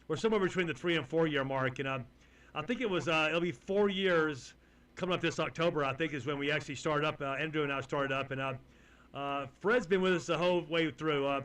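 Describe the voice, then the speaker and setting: A man with a Texan accent running a ham radio net, folksy and halting, with many fillers. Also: a little high, fairly bright tone, clean tone, poor audio. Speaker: net control host.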